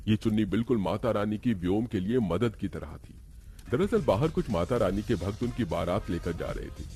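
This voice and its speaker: A man's voice: fairly low pitch, lively intonation, normal speed, mellow, faint music behind. A man narrating a scripted horror story in a dramatic storytelling style.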